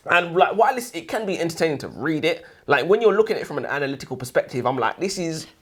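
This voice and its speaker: Male podcast co-host, very expressive pitch swings, heavy slang, emphatic repetition, casual banter. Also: very fast. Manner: slangy, emphatic, repeats phrases. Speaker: book review podcast co-host. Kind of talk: casual banter and book discussion.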